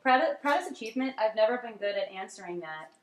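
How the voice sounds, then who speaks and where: A woman's voice: mid-range voice, expressive, fast talker, slight background noise. A woman from Staten Island with a New York accent, sharing personal stories casually with laughs and many ums. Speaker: workshop participant. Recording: echoey room.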